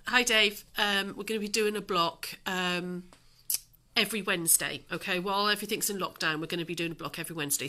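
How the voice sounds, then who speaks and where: A woman's voice: mid-range voice, sharp tone. A middle-aged woman with a slightly snuffly, nasal voice, chatting casually to viewers as a craft tutor on a livestream from her dining room. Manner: chatty, greeting viewers by name with endearments. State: snuffly from allergies. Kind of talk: casual live chat to viewers. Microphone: phone on livestream.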